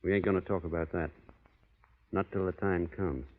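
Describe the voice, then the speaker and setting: Low-voiced plainspoken cowboy, old radio. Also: male voice, fairly even intonation, moderate pace, phone-quality sound. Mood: grave, quietly warning. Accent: American Western. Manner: drawling folksy cowboy talk, understated. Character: plainspoken, stubborn cowboy with a strict code of honor. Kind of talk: 1930s-40s radio western acting. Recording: boxy old radio recording, hall reverb.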